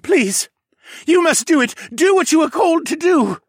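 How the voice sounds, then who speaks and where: A man's voice: very high voice, fairly quick, fairly bright tone, dry recording, studio quality, voice pushed up. A man voicing a haggard old demi-human mage in a fantasy audiobook, frightened, pleading and insistent. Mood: desperate. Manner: stuttering, desperate, insistent.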